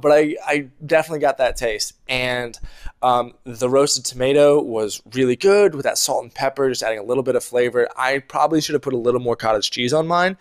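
A young man talks to the camera indoors on a lapel mic, reviewing a meal. He speaks casually with plenty of fillers. His voice sits in a middle pitch and sounds animated and chatty. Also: smooth. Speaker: producer giving a food review. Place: indoor room at home.

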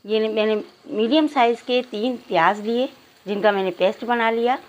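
A woman presents a home recipe in a fairly high voice. She talks straight to her viewers and takes them through the cooking one step at a time. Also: moderate pace, lo-fi sound. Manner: step-by-step, addressing viewers directly. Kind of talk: instructional cooking narration.

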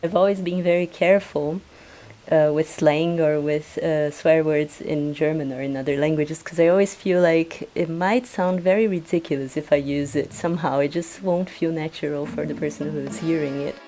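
A woman's voice: sits low, fairly even intonation, normal speed, warm tone. A woman from Brazil speaking carefully and a little hesitantly as a listener.

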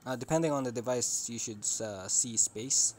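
A man's voice: medium pitch, normal speed, darkish tone. Man giving tutorial steps, noisy recording. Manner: instructing in short, simple steps. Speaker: tech tutorial host. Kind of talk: casual step-by-step instructional narration. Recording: clearly noisy room ambience.

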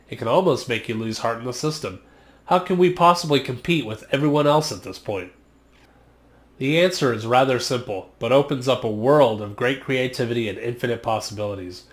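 A man in his thirties talks straight to camera in a mid-range voice, reading a prepared explainer in a steady, earnest way. He records in a small home studio on a condenser microphone, so the sound is close and clean. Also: medium pitch. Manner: measured, earnest, read-from-script delivery. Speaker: internet marketing vlogger. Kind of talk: scripted explainer monologue. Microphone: studio condenser mic on stand, just off frame.

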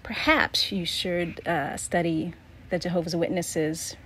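A woman's voice: on the low side, steady tone, takes it slowly, a bit bright, slight background noise. A middle-aged woman casually reminiscing as she narrates, like a vlogger going through keepsakes.

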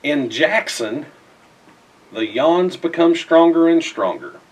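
A middle-aged man reads a rhyming children's picture book aloud in an expressive, theatrical way with emphatic phrasing. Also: a little high, slow pace, far from the mic, background noise. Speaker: storybook reader. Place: indoors in front of a festive backdrop.

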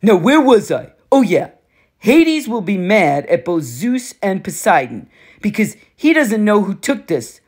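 A woman's voice: medium pitch, sing-song, unhurried, a little mellow, slightly rough, voice pushed up. A woman voicing a smug, menacing adult male god of war who taunts and boasts, in an audiobook reading. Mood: taunting. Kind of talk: audiobook character voice.

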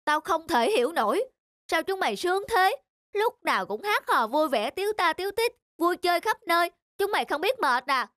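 An actor voicing a bad-tempered, lonely bully of a fox in a cartoon, grumbling and threatening in drawn-out complaints. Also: very high voice, big pitch swings, a bit bright, no echo, studio quality, heavily acted. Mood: menacing, suspicious. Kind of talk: cartoon dub acting. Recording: music under the voice.

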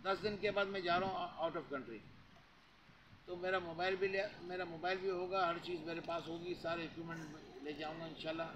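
Chatty high-voiced man in a noisy yard. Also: fairly even intonation, moderate pace. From Pakistan. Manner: chatty, repetitive, frequent religious interjections. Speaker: cattle farm vlogger. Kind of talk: casual selling pitch to camera. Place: outdoor cattle yard with brick walls. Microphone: handheld lavalier mic held near mouth. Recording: noisy outdoor phone recording.

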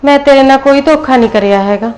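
A woman's voice: high voice, steady tone, moderate pace, a little hiss or hum, natural voice. A woman playing a remorseful mother-in-law who pleads with her son's wife and tries to soothe her. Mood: pleading. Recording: faint music behind.

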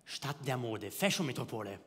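Italian-accented man, lively comedy delivery. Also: mid-range voice, normal speed. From Italy (Milan). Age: young adult. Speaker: stand-up comedian. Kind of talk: stand-up comedy on stage. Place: comedy stage before a live audience.

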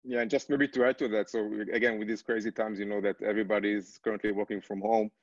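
A man's voice: on the low side, flat delivery, fast talker, dry recording. A man in his thirties talking casually and explaining in a video-call Q&A, peppering his speech with filler phrases. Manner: hedging, repeats "kinda like". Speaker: company co-founder from gaming industry. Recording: slightly dull video-call audio.

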